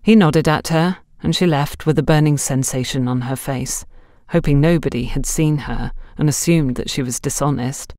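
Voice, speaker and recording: A woman's voice: deep voice, normal speed, few pauses, a little mellow, no echo, studio quality. A woman narrating a scripted mystery story as an audiobook reader.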